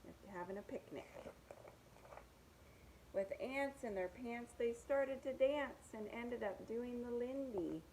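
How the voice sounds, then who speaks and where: A woman's voice: a little high, slow pace, a little mellow, slight background noise, rough recording. A woman reading picture books aloud to young children, with sing-song rhymes and simple asides about the pictures.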